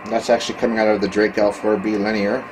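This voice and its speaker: A man giving a casual, hands-on video walkthrough as a hobbyist, explaining technical gear in a matter-of-fact, halting way into a handheld camera. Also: lowish voice, little pitch movement. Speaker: ham radio hobbyist showing his equipment. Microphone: handheld camera microphone. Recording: clearly noisy handheld camera recording.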